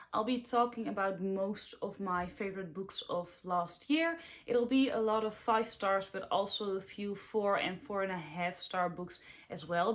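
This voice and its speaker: A young woman in her twenties speaks English with a Dutch accent. She talks in a chatty, upbeat, slightly rambling way, like a casual book vlog to her viewers. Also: mid-range voice. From Netherlands. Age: 20s. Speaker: book vlogger.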